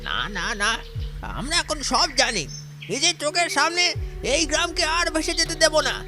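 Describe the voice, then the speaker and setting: Worked up angry villager, really high swoopy voice, audio drama w music. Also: male voice, big pitch swings, a little slow, exaggerated voice. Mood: angry, defiant. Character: agitated, defiant male villager in a mob. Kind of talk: horror audio drama acting. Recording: music behind the voice.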